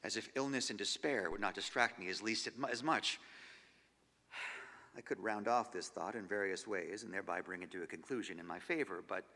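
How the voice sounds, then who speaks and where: A man giving a measured, expressive stage reading of diary entries, sinking to near-whispers at the ends of phrases. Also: fairly low pitch. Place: large concert hall. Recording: long hall reverb.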